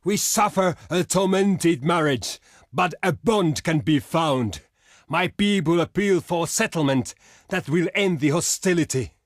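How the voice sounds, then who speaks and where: A high-voiced, proud barbarian chieftain. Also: male voice, lively intonation, unhurried, no room sound, studio quality. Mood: grave, pleading. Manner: declamatory, archaic, blunt speech. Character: proud, hot-tempered barbarian chieftain, adult warrior negotiating. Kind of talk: theatrical video game character voice lines.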